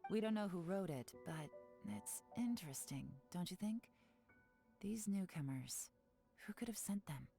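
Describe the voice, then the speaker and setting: Cool shrewd investigator. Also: female voice, fairly low pitch, lively intonation, vocal fry, dark tone, soft background music. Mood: calm. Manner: cool, measured, analytical. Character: composed, shrewd woman investigator. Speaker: game character.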